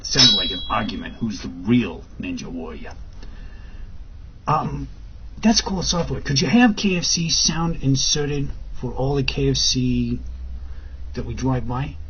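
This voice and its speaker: An actor playing an excitable, hungover man showing off an app in an amateur audio drama. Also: mid-range voice, measured pace. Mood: amused. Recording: muffled recording with faint background noise.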